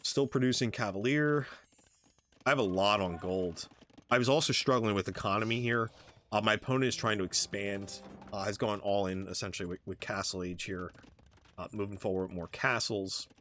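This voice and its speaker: Male gamer, low-pitched voice, casual play-by-play, halting and thinking aloud, music in the background. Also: a bit bright. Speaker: gamer commentating own match. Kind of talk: casual gameplay commentary of a strategy game.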